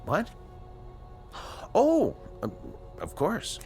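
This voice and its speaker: Slow, swooping, formal scientist. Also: male voice, high-pitched, wildly swooping pitch, a little mellow, soft background music, exaggerated voice. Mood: nervous. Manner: precise, formal, measured diction with dramatic pauses. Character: educated, uneasy male scientist, inventor of a time machine. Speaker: radio drama actor. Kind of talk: radio drama acting, dialogue and dictated log narration.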